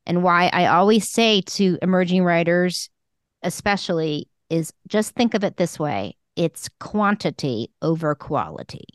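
A woman's voice: fairly low pitch, lively intonation, a little slow, dry recording. Frank, emphatic woman, dry close sound. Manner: frank and emphatic, swears casually. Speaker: podcast co-host and screenwriting mentor. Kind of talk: casual conversational advice.

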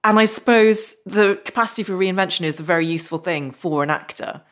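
Woman host, enthusiastic interviewer, slightly low pitch. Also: fast talker. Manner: curious, enthusiastic questioner. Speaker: podcast host. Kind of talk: casual interview conversation.

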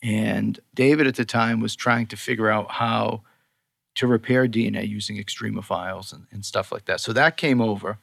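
A man's voice: lowish voice, steady tone, normal speed, gravelly, a bit bright, no echo, clean studio sound. A man with a Boston accent, a company co-founder explaining science in a casual interview, peppered with "you know". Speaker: skincare company co-founder, guest.

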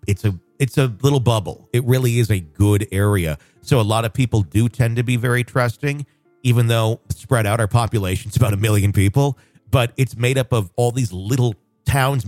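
A man's voice: mid-range voice, a little fast, a little mellow, no echo, soft background music. A man hosting a casual podcast, rambling with fillers and restarts. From northwest Arkansas, USA. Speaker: podcast host.

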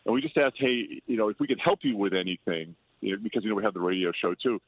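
An older man chatting as an interview guest, loud-voiced, rambling and self-deprecating. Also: fairly low pitch, fast, dry recording. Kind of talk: casual interview chat. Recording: tinny dry phone line.

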